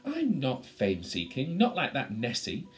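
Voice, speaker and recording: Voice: mid-range voice, big pitch swings, a little slow, soft background music. A storyteller voicing a small female loch creature from Scotland for a children's story, nervous, sad and proudly indignant. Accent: Scottish, put on.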